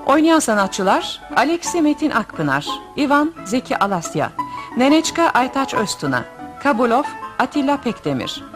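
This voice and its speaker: A woman announcer reading the credits of a radio play in a formal scripted style. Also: high voice. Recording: radio broadcast with music behind.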